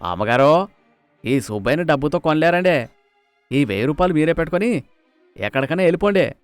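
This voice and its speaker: A man's voice: on the high side, big pitch swings, fairly quick, smooth, dry recording, faint music behind, pitched above the performer's own voice. An outspoken male servant from a cartoon moral tale, put on by a male narrator. He is folksy, sarcastic and loyal, taunting his betters. The sound is dry and close. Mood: defiant. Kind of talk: animated moral story dialogue.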